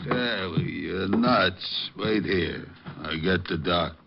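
Very deep, gravelly surly thug. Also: male voice, slow, soft background music, heavily acted. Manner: gruff, curt, blunt. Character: huge surly thuggish male sanitarium orderly. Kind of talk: 1950s radio detective drama acting. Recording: dull, noisy old radio recording.

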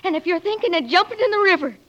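A woman's voice: extremely high pitch, animated, rapid-fire, sharp tone, heavily acted. An actress playing a blunt, proud frontier woman, forthright and scolding, in 1940s radio drama. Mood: hurt, scornful. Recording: dull lo-fi old radio recording.

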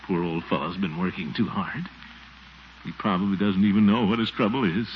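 An actor playing a pompous, excitable middle-aged small-town commissioner in 1940s radio comedy style, given to blustering and muttered asides. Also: male voice, low voice, moderate pace, natural voice. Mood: musing, sorrowful. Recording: dull, noisy 1940s radio recording.

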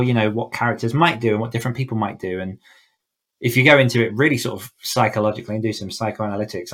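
A man chatting casually as a podcast guest, thinking aloud in a reflective, conversational way with frequent asides. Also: on the low side. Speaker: podcast guest, horror author. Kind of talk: casual interview chat.

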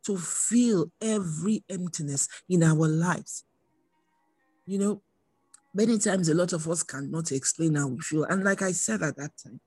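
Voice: mid-range voice, a little mellow, husky, dry recording. A preacher giving an earnest sermon with personal testimony, repeating phrases for emphasis.

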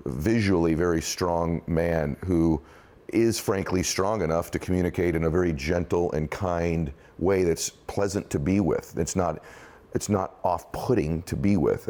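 A man hosting an interview who talks quickly and assertively. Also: deep voice, warm tone. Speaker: host. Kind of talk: interview conversation.

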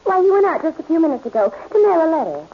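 An actress playing a chatty, busy apartment switchboard operator with a singsong, brisk telephone manner in 1940s radio style. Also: very high voice, very animated. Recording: dull, noisy old radio recording.

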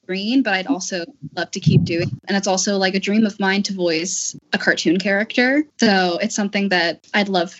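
A woman's voice: mid-range voice, normal speed, few pauses, highs cut off. A woman actor giving her view in a casual panel discussion.

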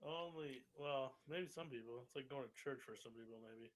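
A man's voice: mid-range voice, moderate pace, poor audio. A man co-hosting a podcast in casual chat, teasing his partner with short quips.

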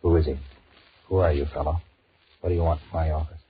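Cowardly, blustering middle-aged executive, very low voice, anxious stammer and muttered thoughts, old-time radio horror, dull noisy recording. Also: male voice, little pitch movement, quick pace. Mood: startled, anxious. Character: panicky married businessman, blustering and cowardly, secretly scheming. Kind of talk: 1940s radio horror acting with inner monologue.

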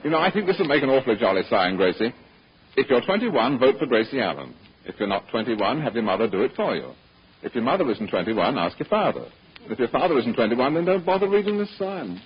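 A man's voice: medium pitch, fast, slightly rough. A man playing a jovial, chatty campaign sidekick in a 1940s radio comedy, on a muffled, hissy old broadcast with an audience. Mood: chatty, amused. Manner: jolly, animated.

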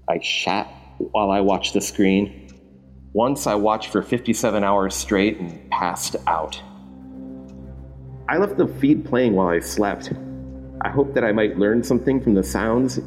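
A male actor playing an obsessed, haunted man who gives a grave, halting confession in a horror audio drama. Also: on the low side, darkish tone, lightly acted. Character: obsessed, haunted man recounting his descent into a screen. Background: music under the voice.

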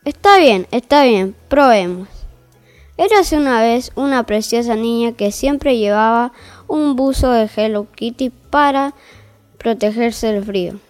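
A woman's voice: a little high, lively intonation, unhurried, darkish tone, soft background music. A woman playing a mild, easily flustered fairy-tale narrator in a comic audio story, hesitant and accommodating.

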